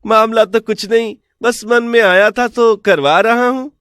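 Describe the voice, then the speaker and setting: Actor as high-voiced cunning village swindler. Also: male voice, fairly even intonation, a little slow, a bit bright, clean tone, dry recording, studio quality. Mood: polite scheming. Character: cunning village swindler man, smooth-talking, theatrically wailing schemer. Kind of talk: animated Hindi storytelling dialogue.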